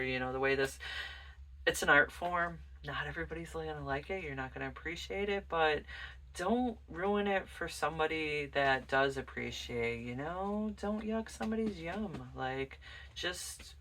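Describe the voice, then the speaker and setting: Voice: mid-range voice, pushed and bright, far from the mic. A vlogger chatting casually and informally in a rambling way as host of a music collection show. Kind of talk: casual rambling vlog chat.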